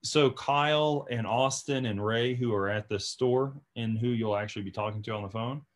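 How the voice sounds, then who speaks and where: Guy hosting a podcast, medium pitch, casual and chatty, kinda emphatic, lots of "you know". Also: normal speed. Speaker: podcast host.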